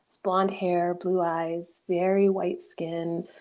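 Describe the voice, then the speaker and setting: A woman in her forties from New Mexico, a guest coach telling her life story in an interview, reflective and animated, often laughing. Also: fairly low pitch, slow pace. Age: 40s.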